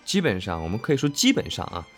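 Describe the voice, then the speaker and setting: A man co-hosting a casual livestream in a halting, conversational way, repeating phrases as he goes. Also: lowish voice, huge pitch swings, fairly bright tone, soft background music. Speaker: voice actor co-hosting a livestream about an audio drama. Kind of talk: casual live chat.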